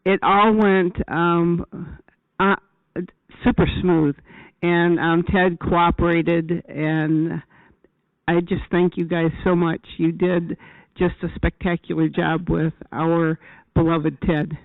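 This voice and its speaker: Earnest woman in casual live chat, smooth voice, slow halting delivery, thin phone-grade audio. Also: on the low side, little pitch movement, no room sound. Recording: thin, dry phone-like sound.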